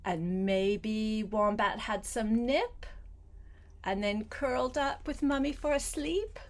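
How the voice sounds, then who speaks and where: An older woman reading a picture book aloud to a small child, gentle and coaxing, with chatty asides spoken straight to the child. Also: fairly high pitch. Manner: gentle, unhurried, coaxing, addresses the child directly.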